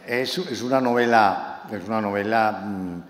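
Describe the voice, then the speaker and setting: A novelist from Málaga, presenting his book to an audience in a talk that is impassioned and halting, with many repeated words. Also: fairly low pitch. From Málaga, Spain. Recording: echoey hall, faint room noise.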